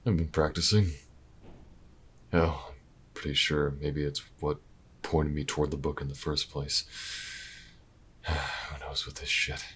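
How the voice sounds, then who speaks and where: An actor playing a weary, wry, protective investigator in an intimate audio drama, low-key and dry, with hesitant pauses. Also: male voice, steady tone, fairly bright tone, exaggerated voice. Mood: weary resolve. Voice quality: very deep, gravelly, husky. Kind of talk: audio roleplay acting, intimate and naturalistic.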